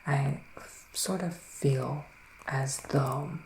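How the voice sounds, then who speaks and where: Slow, soft-spoken male witch, low-key and creaky. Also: fairly even intonation, echoey room, slight background noise, rough recording. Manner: hesitant, drawn-out, mystical musing. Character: psychic male witch giving a mystical reading. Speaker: ASMR performer playing a psychic reader. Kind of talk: ASMR roleplay, soft-spoken with whispering.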